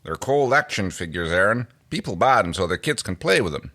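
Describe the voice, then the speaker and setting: A man's voice: sits low, big pitch swings, fairly quick, pushed and bright, voice pushed down, full character voice. A man voicing a wry human astronaut who patiently explains things with a drawl in a story reading. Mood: matter-of-fact.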